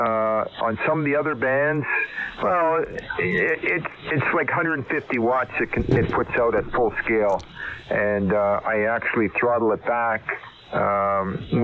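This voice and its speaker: A man working as an amateur radio operator, talking unscripted and haltingly, searching for words over a shortwave radio contact. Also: mid-range voice, background noise. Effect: heard over shortwave radio. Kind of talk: unscripted ham radio conversation. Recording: narrow tinny amateur radio transmission.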